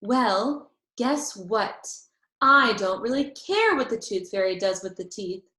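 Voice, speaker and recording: A woman's voice: mid-range voice, lively intonation, takes it slowly, sharp tone. Haughty little rich girl, voiced by a woman, echoey. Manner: haughty, declarative. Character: vain, money-loving little rich girl. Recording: roomy, echoey sound.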